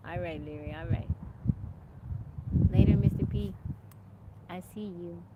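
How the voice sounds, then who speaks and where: A woman's voice: lowish voice, a little slow, narrow, tinny audio, heavy background noise. A woman live streaming outdoors on a farm from her phone, chatting casually and telling a story with lots of laughs. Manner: animated storyteller, laughs often, thanks viewers by name. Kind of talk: casual livestream storytelling and chat.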